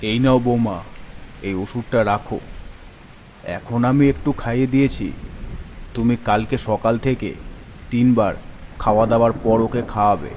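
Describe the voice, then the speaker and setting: A man's voice: medium pitch, steady tone, normal speed, pauses often, a little mellow, slight background noise, lightly acted. A man playing a kindly, reassuring village schoolmaster in a cartoon story. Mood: reassuring, caring. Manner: reassuring, fatherly, concerned. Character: kindly village schoolmaster who doubles as doctor. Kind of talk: Bengali cartoon story acting.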